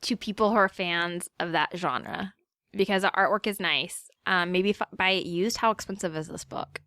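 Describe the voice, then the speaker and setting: A woman's voice: lowish voice, moderate pace, sharp tone, dry recording. A woman co-hosting a podcast, giving casual opinions in a dry, matter-of-fact manner. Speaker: podcast co-host. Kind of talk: casual review chat.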